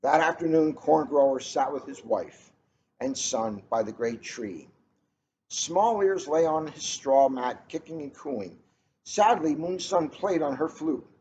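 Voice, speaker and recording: A man reading a children's story aloud in an expressive, dramatic way, drawing out key words for emphasis. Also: fairly high pitch, unhurried. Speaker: storybook reader. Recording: noisy, dull lo-fi recording.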